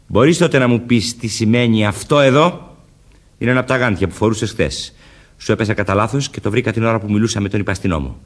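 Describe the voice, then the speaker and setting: An actor playing a jealous, suspicious, hot-tempered young husband in a Greek radio drama. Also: male voice, lowish voice, lightly acted. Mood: accusing.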